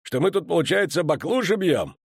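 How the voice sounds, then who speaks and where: A man's voice: fairly high pitch, sing-song, brisk, vocal fry, breathy edge, dry recording, clean studio sound, pitched above the performer's own voice, full character voice. A man voicing a fierce, battle-hardened male dwarf commander who roars and curses as he barks orders. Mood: furious. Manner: roaring, cursing, barking orders. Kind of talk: audiobook character reading.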